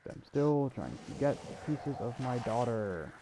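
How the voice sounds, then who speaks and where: A man's voice: mid-range voice, very animated, a little slow, background noise. A man giving casual, offhand commentary as he hosts a video game walkthrough. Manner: offhand, informal. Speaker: gaming video host.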